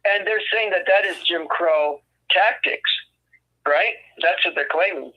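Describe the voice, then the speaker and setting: A man's voice: high-pitched, a little slow, highs cut off. A man co-hosting a casual talk show by phone, joking and then explaining his views at length. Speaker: co-host.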